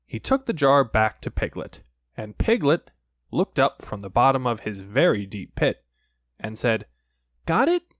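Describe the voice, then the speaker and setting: A man narrating a children's story from a script, in an expressive, measured storytelling way. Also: medium pitch, no room sound, clean studio sound. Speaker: audiobook narrator.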